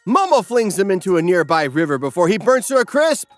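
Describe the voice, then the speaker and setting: A man's voice: high-pitched, very animated, brisk. A male narrator delivers a comedic scripted recap with big swoops in intonation. He is wry and exaggerated in a mock-dramatic way, over a music bed. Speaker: narrator of an anime parody recap. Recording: music behind the voice.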